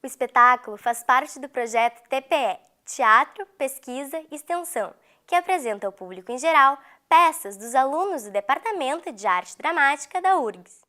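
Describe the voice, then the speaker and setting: A woman's voice: sits high, lively intonation, moderate pace, sharp tone. A woman reading scripted narration for an arts programme.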